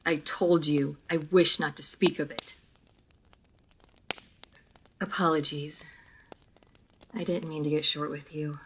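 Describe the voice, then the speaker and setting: A woman voicing a formal, guarded, melancholy aristocratic vampire in a roleplay audio drama, speaking in measured phrases to the listener. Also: deep voice, little pitch movement, a little hiss or hum. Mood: cold, guarded.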